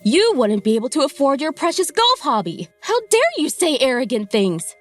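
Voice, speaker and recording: A woman's voice: sits high, big pitch swings, moderate pace, no echo, faint music behind. A woman acting an outspoken, indignant wife and mother in a dubbed manga drama.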